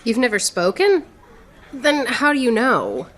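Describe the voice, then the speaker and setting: A woman playing a proud, witty, sharp-tongued swordswoman poet in theatrical radio drama. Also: a little high, big pitch swings, unhurried, a little hiss or hum. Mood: wry, guarded. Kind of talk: radio theatre acting in rhymed verse.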